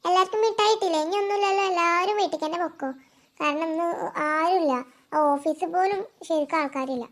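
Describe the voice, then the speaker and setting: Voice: very high voice, fast, a bit bright, slight background noise. A performer voicing chatty children and aunties in a comic family cartoon, in colloquial dialogue.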